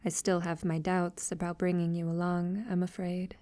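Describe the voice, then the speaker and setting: A woman playing a centuries-old, wealthy vampire lover in an intimate ASMR audio roleplay, soft-spoken and half-whispering with hesitant pauses. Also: fairly low pitch, flat delivery, dry recording, natural voice. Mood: hesitant, uneasy.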